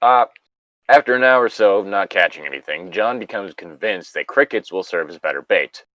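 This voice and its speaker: A man with a low voice reads a story aloud in a loose, casual way. His pitch moves around a lot, and he drops in joking, sweary asides. The recording is dry and a little dull. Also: lively intonation, normal speed, bright, forceful tone, no echo. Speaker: host reading a story aloud with commentary. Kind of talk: casual reading and banter.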